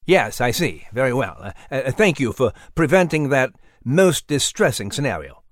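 A man's voice: medium pitch, sing-song, normal speed, dry recording, studio quality. A man doing a prim, polite mouse. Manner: formal, polite, slightly fussy. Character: small polite male mouse, fussy and proper.

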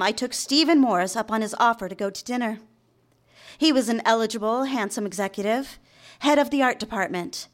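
Actress as demure young secretary, quietly obsessed. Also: female voice, a little high, lightly acted. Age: young adult. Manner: polite, confessional, period-styled diction. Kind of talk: live radio drama with first-person narration.

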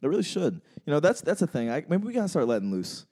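Mellow-voiced man, self-deprecating chatter. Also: a little high, big pitch swings, fast talker, husky. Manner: self-deprecating, rambling with restarts. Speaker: podcast co-host. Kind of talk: casual sports chat.

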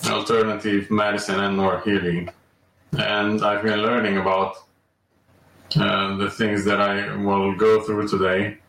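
A man from Romania, a podcast guest speaking slowly with frequent ums and uhs. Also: on the low side, a bit bright. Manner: measured and slow, many ums and uhs. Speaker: guest, alternative medicine practitioner. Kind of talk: podcast interview. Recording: echoey room, distant mic.

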